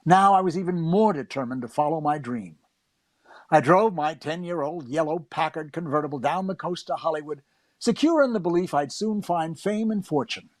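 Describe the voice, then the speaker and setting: Eager young gag writer played by an actor, self-mocking narrator, fairly high, dry studio sound. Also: male voice, moderate pace, dry recording, natural voice. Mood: wry, upbeat. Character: eager, wryly self-mocking young comedy writer recalling his career. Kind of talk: first-person radio drama narration.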